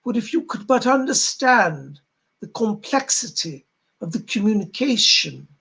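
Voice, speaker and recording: A man voicing a solemn, benevolent spirit guide, addressing a gathering in formal, old-fashioned, sermon-like oratory with a husky, gravelly voice. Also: sits high, fairly bright tone, full character voice. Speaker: trance medium speaking as a spirit.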